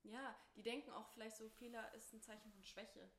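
A woman's voice: fairly high pitch, steady tone, fairly quick. A woman chatting casually and frankly on a podcast. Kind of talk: casual chat. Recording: roomy echo.

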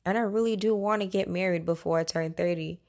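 A woman's voice: fairly low pitch, brisk, a little mellow. A woman in her late twenties talking casually and candidly as a podcast host, conversational and opinionated in a personal monologue. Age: late 20s.

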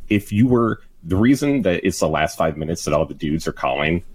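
A man's voice: low voice, animated, a little fast, a little mellow, breathy edge. A man chatting on a podcast in a laid-back, musing way. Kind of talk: casual podcast chat.

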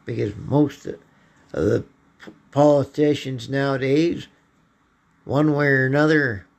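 Male podcast host, hoarse and slow, rambling political monologue. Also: medium pitch, fairly even intonation. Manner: slow, halting delivery with frequent throat clearing.

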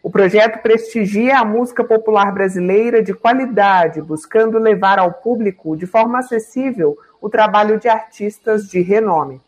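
A woman TV presenter who reads a scripted introduction in a clear, measured way, then interviews a guest. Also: mid-range voice, a little mellow, rough recording.

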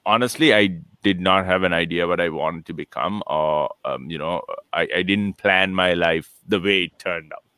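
A man in his late thirties from Sri Lanka, a guest chatting casually on a video call, with a relaxed, rambling manner, restarting and chuckling often. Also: sits low, normal speed, hardly any pauses, clear, resonant tone, no echo. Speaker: interview guest, entrepreneur. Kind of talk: casual conversational interview.

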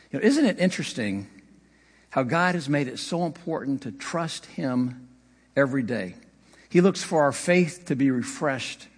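A man preaching a sermon as pastor, conversational, with occasional slips and light laughter. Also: a little high. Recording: long hall reverb.

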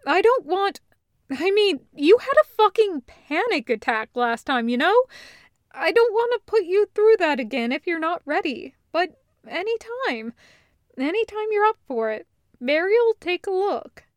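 A woman voicing a caring, foul-mouthed heroic sister in a story, talking casually and emphatically with halting self-corrections. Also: very high voice, sing-song, no room sound, clean studio sound, voice pushed up, heavily acted. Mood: concerned, emphatic.

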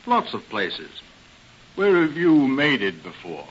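Lively, smooth young playboy butler. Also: male voice, medium pitch, takes it slowly, heavily acted. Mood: breezy. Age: young adult. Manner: glib, easygoing, polite. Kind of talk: 1930s radio drama acting. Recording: hissy, muffled old radio recording.

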